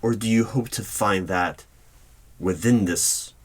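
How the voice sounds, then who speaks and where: A man playing a polite, guarded hiring manager in an ASMR interview roleplay, speaking aloud in a measured, formal, deliberate way with pauses. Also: on the low side. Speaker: roleplay performer as job interviewer. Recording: steady noise with keyboard typing clicks. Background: computer keyboard typing and clicks.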